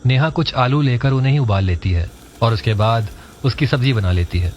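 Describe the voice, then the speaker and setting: A man's voice: mid-range voice, brisk, a little mellow, a little hiss or hum. A man reading scripted narration for a cartoon family story.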